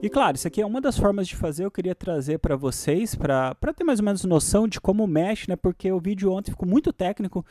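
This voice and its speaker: A man with a Brazilian Portuguese accent casually walking through music software as a tutorial host, often checking in with the listener. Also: high-pitched, animated, fast, few pauses.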